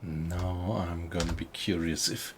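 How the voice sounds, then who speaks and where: Low gravelly German man. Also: noisy background. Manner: relaxed, slow, often quiet and mumbled. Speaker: game streamer. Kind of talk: casual gameplay commentary, chatting with viewers.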